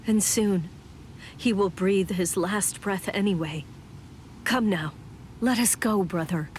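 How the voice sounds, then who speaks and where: A woman's voice: mid-range voice, slow, slight background noise, natural voice. An actress playing a proud young noblewoman warrior in an anime game, formal, imperious and dramatic, with stern self-sacrifice. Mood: grave, resigned. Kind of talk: English anime game dub acting.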